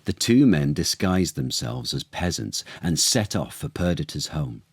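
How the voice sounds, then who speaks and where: Male actor for children's storytime: deep register, unhurried theatrical delivery, very dry sound. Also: sing-song, husky, no echo. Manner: theatrical, unhurried, with dramatic pauses. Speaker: actor reading aloud as storyteller. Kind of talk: expressive children's storytime narration of a literary tale.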